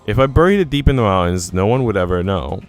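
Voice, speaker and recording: Low-voiced man reading a story aloud, clear. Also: animated, fast talker, faint music behind. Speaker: let's player reading a visual novel aloud. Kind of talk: expressive read-aloud of story narration and dialogue.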